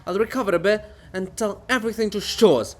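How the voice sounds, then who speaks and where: A man's voice: high-pitched, brisk, soft background music, voice pushed up. A man voicing a living monument of a haughty, paranoid genius in a theatrical farce reading, declaiming and ranting. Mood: suspicious, accusing.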